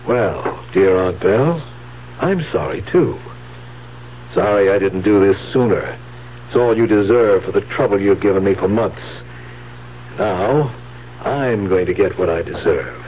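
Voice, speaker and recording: A coolly calculating nephew who puts grief over his greed. His voice sits fairly low, in 1970s radio drama style. The old recording is dull and clearly noisy. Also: lively intonation, unhurried. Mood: gloating, muttering.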